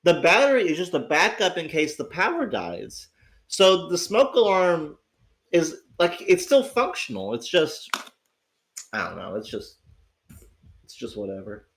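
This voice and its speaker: Sick, raspy man rambling on stream. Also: fairly high pitch, measured pace, a little hiss or hum. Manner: rambling, swearing, excitable, many restarts. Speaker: livestream host. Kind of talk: casual livestream chat. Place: at home.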